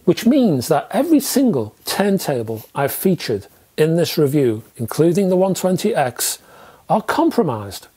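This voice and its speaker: British male reviewer, fifties, husky edge, very lively intonation, chatty and emphatic. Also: fairly high pitch. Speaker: host, hi-fi reviewer. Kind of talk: presenting a product review to camera. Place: small indoor room with record shelves.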